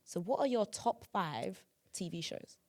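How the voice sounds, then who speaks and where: A woman's voice: medium pitch, animated, a little slow, a little mellow. A young woman hosting a podcast in casual chat, talking chattily with lots of filler words. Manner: chatty, lots of "like" and "do you know what I mean". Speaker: podcast host.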